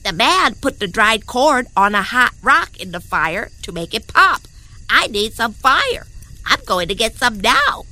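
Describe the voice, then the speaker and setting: A woman voicing an eager, naive young male rabbit in a storybook tale, gasping and excitable. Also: fairly high pitch, measured pace, heavily acted. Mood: scheming. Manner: excitable, gasping, boastful then sheepish.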